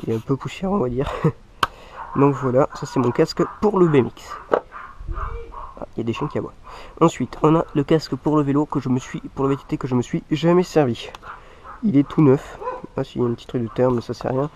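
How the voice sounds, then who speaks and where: A man's voice: medium pitch, a little fast, long pauses, background noise. A man with a head cold and a stuffy voice, chatting casually to viewers as a mountain bike vlogger while showing off his gear. He rambles and leans on the same filler phrases again and again. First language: French.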